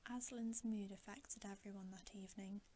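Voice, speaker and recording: A woman reading a bedtime story as ASMR in a breathy whisper, slow and hushed, with long gentle pauses. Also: mid-range voice, fairly even intonation, a little hiss or hum.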